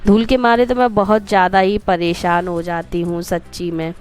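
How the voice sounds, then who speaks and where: Woman vlogger, hoarse from a cold, Madhya Pradesh Hindi accent, flat even delivery, music low underneath. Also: normal speed. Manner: chatty, homely, rambling asides to viewers. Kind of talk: casual voice-over narration of a daily routine.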